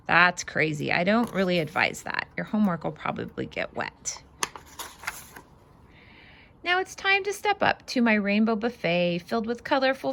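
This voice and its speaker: A woman reads a picture book aloud for children. Her voice sits a little high and swings widely in pitch, with noticeable creak. She leans into the rhymes in an expressive, sing-song way and slips in casual asides. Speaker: read-aloud host.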